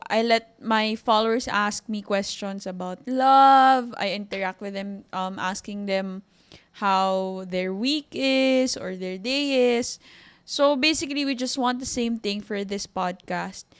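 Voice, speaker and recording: A woman's voice: mid-range voice, measured pace, clean tone. A young woman in her twenties with a Filipino accent, co-hosting a podcast and chatting in a teasing, easy way. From Philippines. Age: 20s. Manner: chatty, teasing, mixes English and Tagalog. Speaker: podcast co-host. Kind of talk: casual chat.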